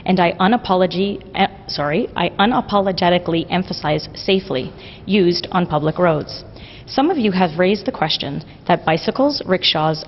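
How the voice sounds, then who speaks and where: Woman, lower voice, formal measured reading, lo-fi recording with long reverb. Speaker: press secretary. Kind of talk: reading a prepared statement. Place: government office hallway. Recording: lo-fi with long reverb and faint hiss.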